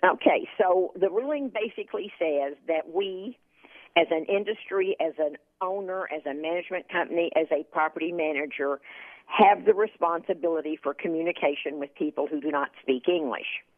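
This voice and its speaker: A woman's voice: fairly low pitch, moderate pace, dry recording. A woman from Texas, a guest expert on a radio talk show, chatting and telling anecdotes with many repeated words. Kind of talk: casual radio interview. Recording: phone line.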